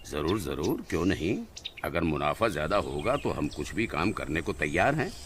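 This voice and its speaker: Guy doing a shady village crook for a kids story cartoon, really deep voice, noisy recording. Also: sing-song, normal speed, exaggerated voice. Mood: eager. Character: two scheming male village poachers, one bold, one fearful. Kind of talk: animated moral-story dialogue in Hindi.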